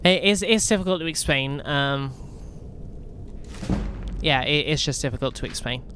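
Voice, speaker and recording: A man's voice: fairly high pitch, moderate pace, pushed and bright, a little hiss or hum. Welsh man chatting while gaming. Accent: toned-down Welsh. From Wales. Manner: rambling, restarts often, chats with viewers. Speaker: gaming streamer. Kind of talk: casual gameplay chat.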